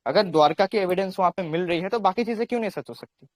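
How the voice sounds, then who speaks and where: A high-voiced man with an Indian accent argues his case in a debate. He explains insistently and often repeats phrases to hold the floor. The sound is very dry, with little room to it. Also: fast, dry recording. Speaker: religious debater on a recorded call.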